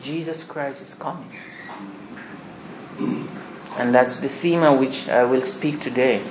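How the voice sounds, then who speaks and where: A soft-spoken man giving a rambling, informal sermon introduction to a small congregation. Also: slow, background noise. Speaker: visiting preacher. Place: small church hall. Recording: echoey hall, dull low-fi sound.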